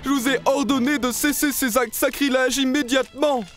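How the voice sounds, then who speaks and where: A man's voice: very high voice, steady tone, normal speed, a bit bright, soft background music. A man voicing a stern, commanding guardian with a formal, authoritative delivery in a French children's cartoon.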